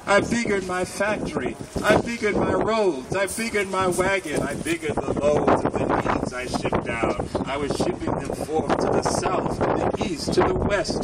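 A middle-aged man reads a children's rhyme aloud with theatrical care. His voice sits high and moves slowly. It is picked up from a distance outdoors. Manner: theatrical, deliberate. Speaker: storybook reader. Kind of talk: expressive read-aloud of a rhyming children's book. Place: outdoor park gathering. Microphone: microphone on a stand, filmed by a nearby camera. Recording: windy outdoor PA, distant, noisy.

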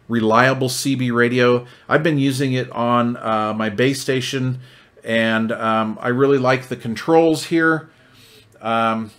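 A man's voice: medium pitch, a bit bright. Folksy American man reviewing gear. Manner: folksy, conversational enthusiast. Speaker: radio reviewer. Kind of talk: casual product review and demonstration.

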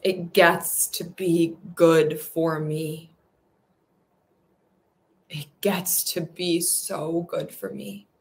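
Woman meditation guide: soft, soothing, repetitive affirmations, unhurried and even, low-set voice.

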